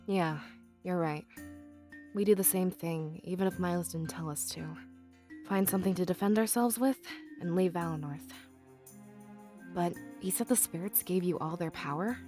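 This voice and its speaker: A woman acts as a candid adventuress in a fantasy visual novel. Her voice is a touch low, her delivery direct and inquisitive with a dry wit. Soft music runs behind the lines. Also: normal speed, pauses often, close to an everyday voice. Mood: troubled, thoughtful.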